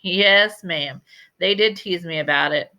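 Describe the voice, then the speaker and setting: A woman voicing a genteel old lady librarian from a storybook, telling tales in a deliberate, dramatic way with emphatic repetition. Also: on the low side, sharp tone, lo-fi sound. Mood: playful, storytelling. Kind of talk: storybook character voice in a read-aloud.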